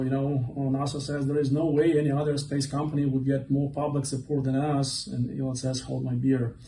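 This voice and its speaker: A man's voice: medium pitch, warm tone, slight background noise. Hesitant man speaking in an echoey hall. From Ukraine. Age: 40s. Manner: hesitant, many fillers. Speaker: presenter. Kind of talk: conference talk. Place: event hall. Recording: echoey hall, mic from far off.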